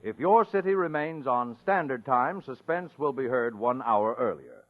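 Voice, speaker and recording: A man's voice: medium pitch, sing-song, normal speed. A man reading closing announcements in a formal, declamatory 1940s network radio style. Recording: tinny old radio, faint music.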